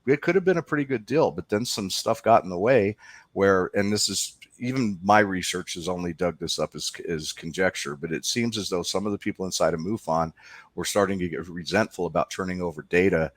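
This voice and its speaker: A man's voice: low-pitched, a little fast, no echo. A man speaking as an interview guest, explaining things informally in a conversational way.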